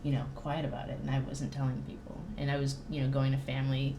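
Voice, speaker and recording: A woman's voice: very deep voice, monotone, fast talker, warm tone. A woman chatting casually and candidly as a podcast guest, in an informal, self-deprecating way. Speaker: band drummer, podcast guest. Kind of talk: casual personal chat. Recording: roomy echo with faint noise.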